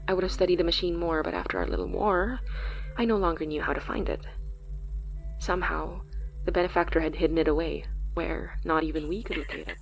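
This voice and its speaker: A woman's voice: on the low side, steady tone, fairly quick, vocal fry, a little hiss or hum, low-quality recording. An actress playing a solemn supernatural elder, a woman recounting an old war in a dramatic monologue. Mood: solemn, regretful.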